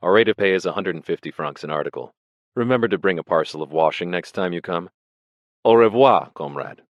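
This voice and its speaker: A man voicing a fussy, unshaven Russian organizer who talks in a brisk, scolding way. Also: deep voice, expressive, no room sound, lo-fi sound. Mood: brisk, businesslike.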